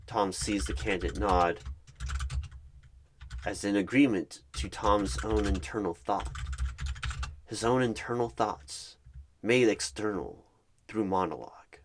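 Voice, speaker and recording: A man narrating an ASMR roleplay in the third person, deliberately, in short dramatic phrases. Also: on the low side, slight background noise.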